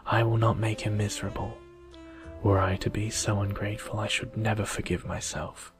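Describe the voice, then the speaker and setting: A man reading a kind, remorseful young storybook heroine in a gentle, earnest, old-fashioned manner. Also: lowish voice, level tone, moderate pace, over music, lightly acted.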